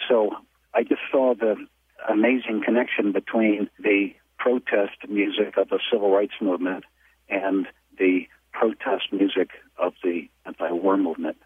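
An older man leaving a thoughtful, slightly halting voicemail as a caller. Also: lowish voice, steady tone, breathy edge, no room sound, narrow, tinny audio. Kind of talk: voicemail message.

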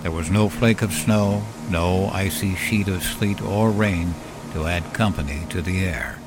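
A man with a very low voice narrates a scripted horror tale. Music is clearly audible beneath his reading. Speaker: story narrator. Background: music bed.

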